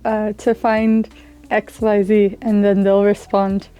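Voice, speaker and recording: A young woman, an international language student, giving thoughtful, measured interview answers. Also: mid-range voice, little pitch movement, warm tone, clear, resonant tone, rough recording. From United States.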